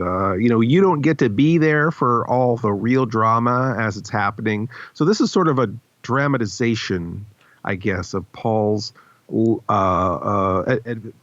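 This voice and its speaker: A man's voice: mid-range voice, dry recording. A man hosting a podcast in casual, wry, teasing chat. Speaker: podcast host. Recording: slightly dull, dry recording.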